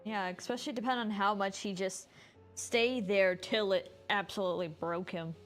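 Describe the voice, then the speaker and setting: A woman's voice: mid-range voice, fairly even intonation, moderate pace. A woman game streamer giving casual live commentary as she plays. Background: game music.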